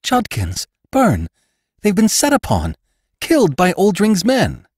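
A man's voice: on the low side, animated, moderate pace, darkish tone, no room sound, clean studio sound. A man voicing an anxious, pleading young woman rancher in a western audiobook, with emotional, exclamatory delivery. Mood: worried. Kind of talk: audiobook character acting.

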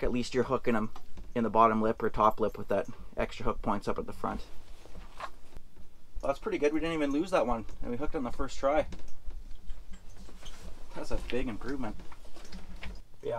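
A man's voice: mid-range voice, fairly quick, long pauses. A young man talks easily about his fishing gear in a mid-range voice, loose and conversational. He sits in an ice-fishing shelter and talks to the camera mic, with a faint hiss in the background. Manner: relaxed explaining, excited and out of breath after running. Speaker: fishing vlogger. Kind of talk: casual how-to talk and banter. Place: inside an ice fishing shelter on a frozen lake.

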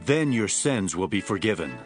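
Fervent, swooping desert preacher. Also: male voice, on the low side, very animated, moderate pace. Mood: urgent. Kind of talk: Bible audio drama acting. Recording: voice over clear guitar music.

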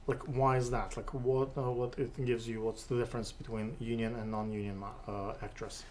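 Halting Russian-accented male interviewer. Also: mid-range voice, flat delivery, a little mellow, a little hiss or hum. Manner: halting, many "uh" fillers and restarts. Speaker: interview host. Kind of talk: casual interview questions. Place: studio with city backdrop, seated on sofa.

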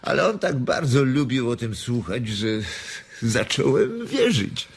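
An actor playing a bitter, resigned ranch hand in a radio drama, gravelly and husky, speaking heavily and haltingly. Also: male voice, mid-range voice, sing-song, heavily acted. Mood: bitter resignation. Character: weary, bitter, resigned migrant ranch worker.

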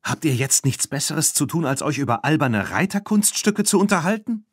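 Brisk, easily annoyed young girl for a children's audiobook, raised pitch, voiced by a male narrator. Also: sing-song, breathy edge, no room sound, studio quality. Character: impatient, easily annoyed girl rider Claire.

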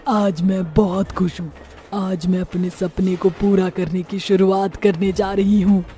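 Woman doing a dark-voiced, bossy witch. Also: medium pitch, flat delivery, unhurried, soft background music, close to an everyday voice. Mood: pleased. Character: bossy, short-tempered witch with a dream. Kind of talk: horror cartoon acting.

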